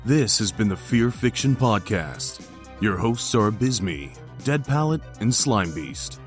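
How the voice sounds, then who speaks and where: A man's voice: on the low side, very animated, measured pace, soft background music. A man reading a scripted announcement as a podcast voiceover.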